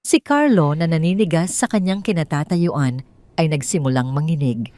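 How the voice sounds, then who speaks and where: A woman with a Filipino accent narrating a scripted dramatic story in measured, sentimental phrasing. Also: sits low, lively intonation, warm tone, no echo, studio quality.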